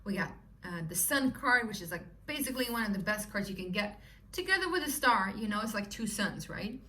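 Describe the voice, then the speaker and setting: A cheerful woman with a Swedish accent talks her viewers through a tarot spread in a loose, chatty way. Her voice is bright and clear. She sits a little back from the mic, with a faint hiss of room noise behind her. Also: medium pitch, lively intonation, brisk, recorded from a distance. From Sweden. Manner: chatty, upbeat, lots of "you know" and "right?". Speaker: tarot reader. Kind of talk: casual tarot reading to viewers.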